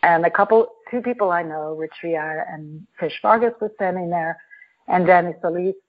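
A woman from New York talks through a personal memory on a podcast. She is reflective and halting, often restarting her sentences. Her voice sits low and comes through a narrow, tinny phone line. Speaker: podcast guest. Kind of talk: personal storytelling.

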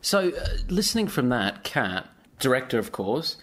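A man's voice: medium pitch, sing-song, normal speed, a bit bright. A man hosting a podcast, introducing guests and chatting with them in an interview style.

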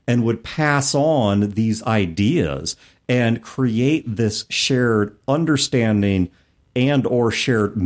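A man's voice: medium pitch, darkish tone. A man hosting a podcast discussion, explaining his points in a wordy, professorial way. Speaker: podcast host. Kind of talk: discussion of tabletop gaming.

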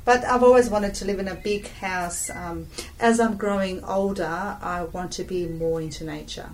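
A woman from Australia in casual chat about her drawing, reflective and halting, laughing often. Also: medium pitch, fairly even intonation. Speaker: business owner talking about her drawing. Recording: distant mic with faint background noise.

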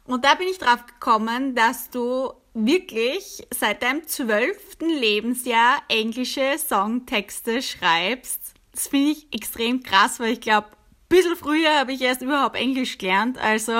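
A woman's voice: on the high side, animated, a little slow, a bit bright. A woman interviewing a musician, asking questions in a friendly, enthusiastic way.

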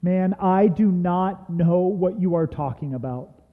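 A man reading a defensive disciple, emphatic and deliberate, in a church scripture drama. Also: high voice. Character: defensive male disciple denying his master. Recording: dull, reverberant church sound.

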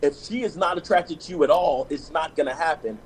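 A man's voice: fairly high pitch, brisk, slight background noise, low-quality recording. A man on a discussion panel talking casually and telling personal stories, with lots of restarts and "you know what I'm saying.".

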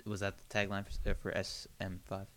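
A man's voice: low voice, completely flat delivery, brisk, a bit bright. A man co-hosting a comedy podcast, bantering wryly in casual improvised chat.

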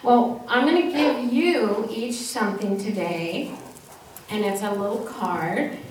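A woman's voice: medium pitch, slow, darkish tone. A woman speaks simply and encouragingly as she leads a children's message at church, asking questions and affirming answers. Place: church, during a service. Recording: echoey church, distant mic, faint noise.

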